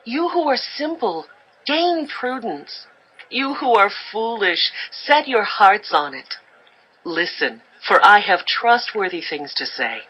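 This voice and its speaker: A woman's voice: mid-range voice, very animated, slow, breathy edge, dull audio, full character voice. An actress playing Wisdom as a solemn, commanding female spirit, in a stately, declamatory dramatized scripture reading. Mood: proclaiming.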